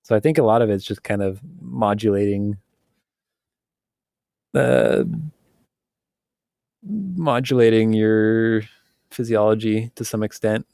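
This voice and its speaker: A man co-hosting a casual podcast conversation, talking in a relaxed, rambling way with many fillers and frequent laughs. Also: lowish voice, takes it slowly, creaky, pauses often, no room sound, clean studio sound. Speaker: podcast co-host.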